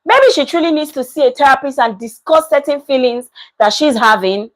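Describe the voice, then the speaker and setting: A woman's voice: sits high, fairly quick. A woman, giving casual reaction commentary and reading out viewer comments in an emphatic way that repeats points. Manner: animated, emphatic, repeats herself. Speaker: commentary vlogger.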